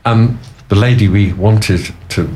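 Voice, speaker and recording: A man's voice: fairly low pitch, fairly even intonation, slow pace, mellow, a little hiss or hum. A man hosting a casual radio show from a venue studio, rambling and making puns. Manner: rambling, punning, chuckly. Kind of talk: casual on-air chat. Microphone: omnidirectional studio mics.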